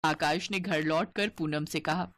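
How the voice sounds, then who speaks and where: A woman's voice: deep voice, steady tone, a little slow. A woman narrating a scripted cartoon story as its storyteller.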